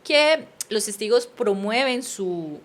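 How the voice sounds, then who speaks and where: A woman in her thirties with a Costa Rican accent, a podcast guest telling her life story in an earnest, animated way. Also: on the high side, slow pace. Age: 30s. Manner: earnest, animated, addresses listener as usted. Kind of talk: personal storytelling in conversation.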